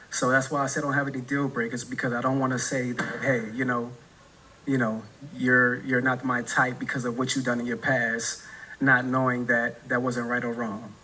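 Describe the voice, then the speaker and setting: A man's voice: mid-range voice, sharp tone, low-quality recording. A man in his forties with a New Orleans accent, a dating show contestant answering questions in a laid-back way and often tagging on "right?". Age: 40s.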